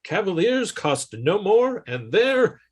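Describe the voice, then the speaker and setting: A man's voice: high voice, sing-song, takes it slowly, a little hiss or hum. A man reading a 1950s-style radio commercial with emphatic sales delivery. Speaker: announcer.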